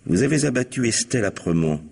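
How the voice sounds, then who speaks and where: An actor playing a shrewd, composed police commissioner in an old French radio mystery, husky and methodical in his phrasing. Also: medium pitch, very animated, fast, darkish tone, full character voice. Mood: firm, accusing.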